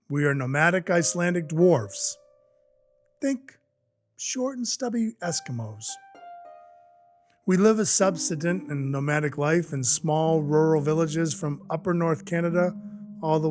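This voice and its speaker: Man playing a sardonic dwarf enforcer, higher-pitched. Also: measured pace, faint music behind. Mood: proud explaining. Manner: deadpan, sardonic, officious. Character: gruff, sarcastic male dwarf, Santa's naughty-list enforcer. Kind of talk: audio play narration and dialogue.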